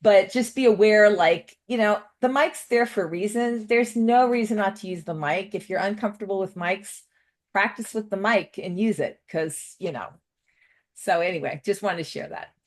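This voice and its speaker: Woman, seminar participant, earnest, rambling, mid-pitched voice. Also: moderate pace. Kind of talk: casual discussion.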